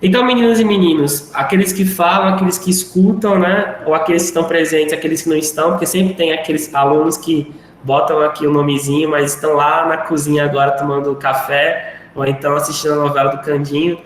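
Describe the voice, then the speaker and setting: A man's voice: a little high, level tone, quick pace, few pauses. A male teacher wrapping up a live online class in a chatty, rambling way. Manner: rambling, chatty, self-deprecating. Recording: very echoey room, faint noise.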